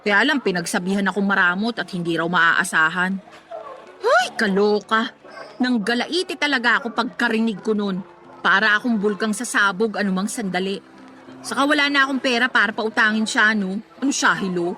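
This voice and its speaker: A woman's voice: medium pitch, normal speed, pushed and bright, natural voice. An actress playing a feisty young working woman with a Filipino accent, chatty and slangy in a radio drama. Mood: indignant. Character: feisty, exasperated young working woman venting about family. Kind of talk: Tagalog radio drama acting. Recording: music behind the voice. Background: background music.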